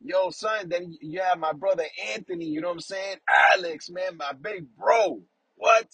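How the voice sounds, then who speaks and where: Husky high-voiced man musing warmly. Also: dry recording, poor audio. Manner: rambling, fills with "you know what I'm saying"; affectionate, warmly emotional about family. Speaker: podcast host. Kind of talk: casual heartfelt faith talk.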